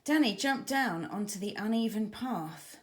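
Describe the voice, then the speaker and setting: A woman children's author reading her storybook aloud with expressive, dramatic emphasis for young listeners. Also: medium pitch, unhurried.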